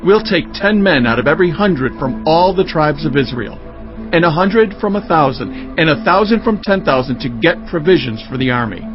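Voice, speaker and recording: Guy playing indignant israelite war leader, highish voice, declamatory bible drama, muffled sound w music behind. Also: expressive, moderate pace, close to an everyday voice. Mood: resolute, indignant. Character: indignant Israelite warrior leader rallying his people. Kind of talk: dramatized scripture acting.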